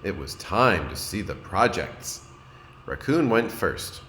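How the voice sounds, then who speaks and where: A man, a kindergarten teacher, reading a storybook aloud to young children in an expressive, deliberate way and addressing his listeners. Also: low-pitched. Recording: roomy echo, noticeable background noise.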